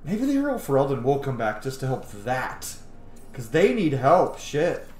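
A man's voice: mid-range voice, big pitch swings, measured pace, a little mellow. A man in his thirties giving casual, sweary game commentary, thinking aloud as he plays. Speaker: game streamer. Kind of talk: casual roleplay commentary over gameplay. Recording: echoey room.

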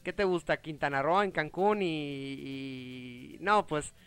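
Clear-voiced man. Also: fairly high pitch, slow pace, fairly bright tone, no echo, soft background music. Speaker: game show host. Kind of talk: casual chat while playing.

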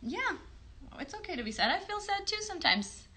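A woman in her thirties with a high, bright voice hosts a show for small children. She is animated and encouraging, moving between singalong and simple chat, recorded on a phone in a bedroom. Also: fairly quick. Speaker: children's livestream host and puppeteer. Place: bedroom, indoors. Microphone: phone at about arm's length or a little more.

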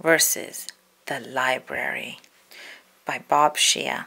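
A woman reading a children's picture book aloud in an animated, dramatic storytelling manner. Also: extremely low pitch, slow pace, vocal fry.

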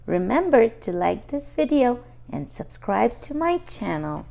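Slow, expressive woman reading to young children. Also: on the high side, creaky. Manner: expressive, addresses child listeners directly. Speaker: children's storybook reader and host. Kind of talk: read-aloud picture book narration for young children.

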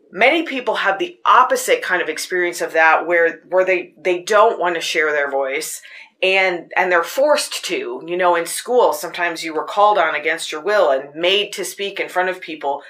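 A woman with a fairly low voice hosting a podcast, telling personal stories in an earnest, searching way. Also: normal speed, pushed and bright. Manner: earnest, personal storytelling with frequent restarts. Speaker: podcast host. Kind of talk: casual spoken reflection. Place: Tucson.

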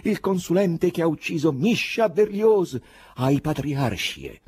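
A man voicing a frantic, angry male poet in an audiobook story, shouting in agitation and desperation. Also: fairly low pitch, lively intonation, moderate pace, husky, dry recording, studio quality, voice pushed up, exaggerated voice. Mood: frantic, exasperated.

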